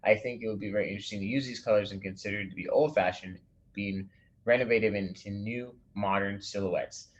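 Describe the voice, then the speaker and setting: Relaxed man explaining a class project. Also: on the low side, fairly quick, lo-fi sound. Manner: relaxed, explanatory, reads aloud with restarts. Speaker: instructor. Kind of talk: recorded lecture.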